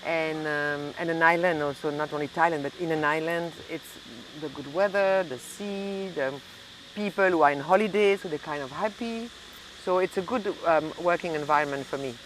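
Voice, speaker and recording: A woman's voice: sits low, normal speed, a little hiss or hum, low-quality recording. A middle-aged woman, a native French speaker from Belgium and southern France with a French accent, chatting casually in an interview and often searching for words. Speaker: interview guest, hotel and restaurant owner.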